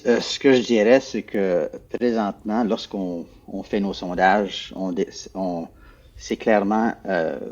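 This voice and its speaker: A man, a former official, answering interview questions in a measured, explanatory way, often repeating words as he searches for them. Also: deep voice, a little mellow, lo-fi sound. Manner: measured, explanatory, hesitant repetitions.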